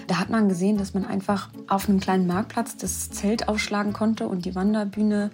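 A woman's voice: mid-range voice, level tone, a little fast, a little mellow, soft background music. A woman speaking spontaneously as an expert interviewee, explaining things in a conversational, emphatic way.

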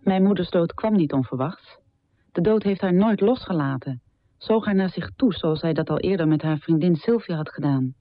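An actress playing a bereaved adult daughter, soberly narrating memories of her mother in a measured radio drama monologue. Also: on the low side, highs cut off, natural voice. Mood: sober, reflective.

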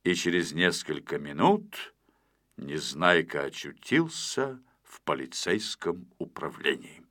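A male storyteller reads a children's tale expressively. His mid-pitched voice moves around a lot and sounds bright, in a dry, close recording. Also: huge pitch swings, slow pace, no room sound. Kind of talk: expressive scripted narration of a children's audio story.

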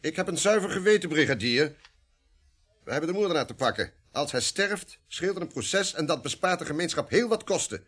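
An actor in a Dutch radio play playing a cold, self-righteous police inspector, husky, brisk and authoritative. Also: male voice, a little high, lively intonation, fairly bright tone. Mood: defensive, firm.

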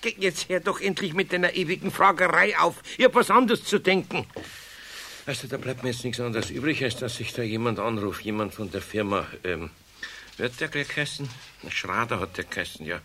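An actor playing a good-hearted but easily flustered old master carpenter with a Bavarian accent in a children's radio play, muttering gruffly. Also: male voice, mid-range voice, fairly quick, pushed and bright. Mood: impatient.

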